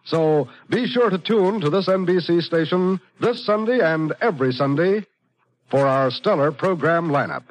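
A man's voice: a little high, animated, normal speed, no room sound, low-quality recording. An American man announcing in a scripted, polished and declamatory 1950s network radio style.

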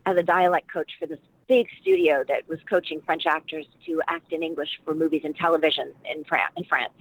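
A woman's voice: low voice, expressive, brisk, low-quality recording. A middle-aged woman from Washington, D.C., an actress and dialect coach, talking casually as an interview guest in a rambling, reflective way with many fillers.

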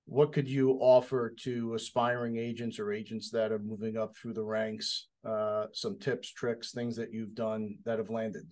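A man's voice: fairly low pitch, level tone, a little slow, few pauses, a little mellow. A man hosting a podcast interview in an easygoing, folksy way. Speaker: podcast host.

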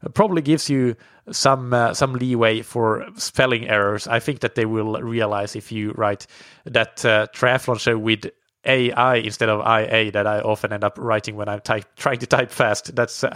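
A man's voice: on the low side, moderate pace, no echo. Guy hosting a podcast, easygoing, lots of uhs, dry no-room sound. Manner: relaxed, conversational, with frequent fillers. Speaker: podcast host. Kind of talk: reading sponsor ads, then interviewing.

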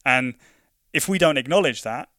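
A man's voice: mid-range voice, animated, normal speed, sharp tone, no echo, clean studio sound. A young man from London with a London accent, talking casually in an interview, full of restarts and "you know" fillers. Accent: London English. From London, England. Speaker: conservation project worker.

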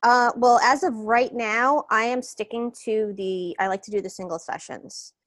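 Relaxed New woman guest. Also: on the high side, moderate pace, dry recording. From New York. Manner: relaxed, meandering, hesitant with fillers. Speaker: guest, wellness coach. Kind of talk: casual interview.

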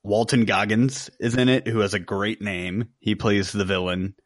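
Low-voiced man in a dry-sounding room. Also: steady tone, moderate pace, no echo. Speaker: podcast co-host. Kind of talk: casual film review.

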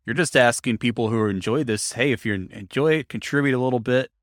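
A man's voice: medium pitch, a little fast, dry recording, studio quality. A man hosting an interview podcast, speaking in a thoughtful, explanatory conversational way. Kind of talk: conversational interview with narrated segments.

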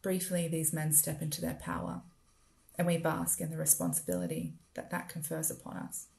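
An Australian woman reading her own literary writing aloud in a measured, deliberate, poetic way. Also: sits low, sing-song, creaky, darkish tone. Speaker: writer performing own work. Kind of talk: literary spoken-word reading.

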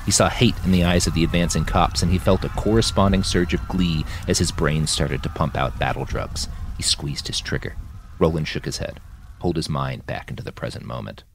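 A man's voice: extremely low pitch, brisk, vocal fry, a little hiss or hum. A man reading scripted fiction as a dramatic audiobook narration for a podcast.

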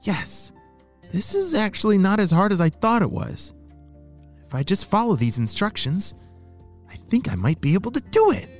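A man plays a plucky young girl spy in a children's story, with a lifted, swooping voice. She is excitable and resourceful, talking things through to herself over background music. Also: sits high, normal speed, long pauses, pitched above the performer's own voice. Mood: determined. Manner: excitable, thinking aloud to self.

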